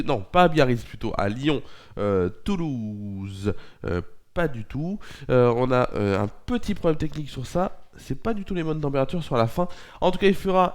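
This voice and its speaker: A man's voice: a little high, clean tone. A young man presenting a local news and weather bulletin with a stuffy nose from a cold, often hesitating and correcting himself. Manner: hesitant, frequent fillers and self-corrections.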